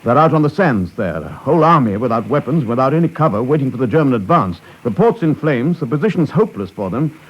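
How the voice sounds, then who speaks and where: A gentle, reclusive artist, earnest and halting, with a husky voice that swings widely in pitch. It is a dull-sounding 1950s radio play recording. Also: male voice, big pitch swings. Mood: grave, urgent. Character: gentle, reclusive crippled artist setting off to war.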